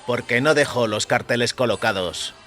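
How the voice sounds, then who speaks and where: A man playing a scornful circus gatekeeper from an audio story. His voice is raised in pitch and bright, with a curt, irritable edge and contempt for whoever is asking. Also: normal speed, soft background music, close to an everyday voice. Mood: irritated, grudging.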